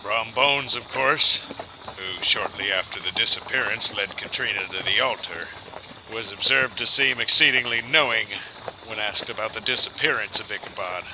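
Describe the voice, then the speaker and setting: A man playing a storyteller who recounts an old ghost legend in a theatrical, drawn-out audio drama narration. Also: on the low side, noisy background, close to an everyday voice. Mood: wry, measured.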